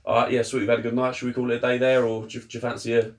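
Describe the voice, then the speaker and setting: Fast-talking man, chatty. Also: medium pitch, little pitch movement. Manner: chatty, emphatic, laughs often. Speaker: podcast co-host. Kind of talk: casual chat.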